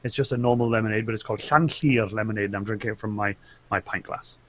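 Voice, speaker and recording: A man's voice: lowish voice, fast talker, fairly bright tone. A man from Wales with a Welsh accent and Welsh as his first language, a guest chatting casually about streaming, full of restarts and fillers. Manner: chatty, lots of restarts and fillers, laughs often. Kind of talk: casual chat on a live show.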